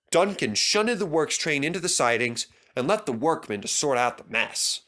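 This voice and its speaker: A man's voice: on the high side, fairly bright tone. A man reading a scripted children's story as narrator.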